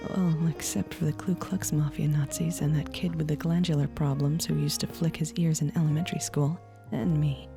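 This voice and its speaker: A woman playing a spoiled, wealthy femme fatale in a noir ASMR roleplay, in a breathy, half-whispered voice. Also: deep voice, steady tone, normal speed, hardly any pauses, over music. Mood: cool, defensive.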